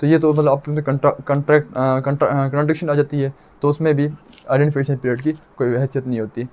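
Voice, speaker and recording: Higher-voiced Pakistani man teaching law. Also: level tone, fast talker, a little mellow, highs cut off. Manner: didactic, repeats points and asks himself questions. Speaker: law lecturer. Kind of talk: explanatory exam lecture.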